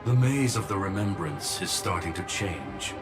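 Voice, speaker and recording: A coolly logical gentleman machine in a video game. His voice is low and his phrasing formal and exact. Loud music runs underneath. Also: expressive. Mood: calm, analytical. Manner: formal, precise, measured.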